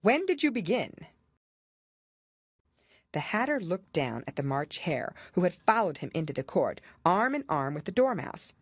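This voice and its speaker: A woman reading a children's story aloud as expressive scripted narration. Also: low-pitched, normal speed, few pauses, no room sound, studio quality. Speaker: audiobook reader.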